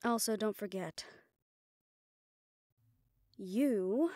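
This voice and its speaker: Audio roleplay: sardonic female personal assistant to a crime boss, played by a woman, mid-pitched, businesslike yet familiar, dry close sound. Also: extremely slow, warm tone, dry recording. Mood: dry, confident. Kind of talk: intimate scripted audio roleplay, one-sided dialogue.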